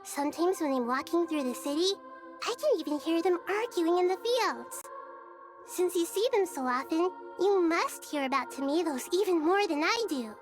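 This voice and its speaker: A high, girlish voice of a wise and gentle young deity for a video game. She speaks in composed, measured phrases, formal yet playful. Faint music plays behind her. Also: lively intonation. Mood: warm, playful. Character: gentle wise childlike female deity and ruler.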